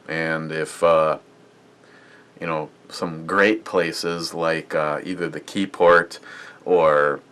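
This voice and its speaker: A man's voice: low-pitched, pushed and bright. A man casually reviewing a beer on his vlog, rambling and chatty with lots of fillers and restarts.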